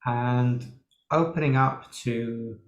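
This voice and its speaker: A man leading a guided meditation as a teacher, speaking in an unhurried way with long pauses. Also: medium pitch, steady tone, highs cut off. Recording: roomy, echoing sound.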